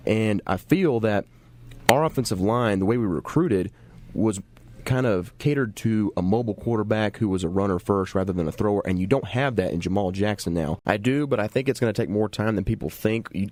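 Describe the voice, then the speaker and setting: Deep-voiced man talking football. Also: darkish tone. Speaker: football analyst. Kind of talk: sports talk show discussion.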